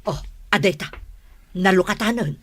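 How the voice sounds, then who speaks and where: A woman's voice: medium pitch, moderate pace, fairly bright tone, close to an everyday voice. A woman with an Ilocano Filipino accent playing a nosy, moralizing aunt in a radio drama. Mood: conspiratorial.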